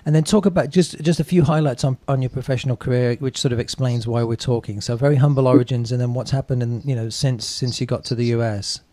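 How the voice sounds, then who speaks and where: A man hosting a podcast, interviewing conversationally and rephrasing his questions as he goes, with softened wording. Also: mid-range voice, steady tone, fast talker, hardly any pauses.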